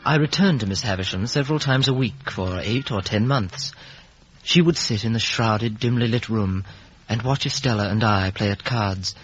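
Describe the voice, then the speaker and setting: A man playing an earnest young man in a 1950s radio drama, literary and measured, with a husky voice. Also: fairly low pitch, fairly bright tone, soft background music, close to an everyday voice. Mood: reflective. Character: earnest young man narrating his own boyhood. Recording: muffled vintage radio recording.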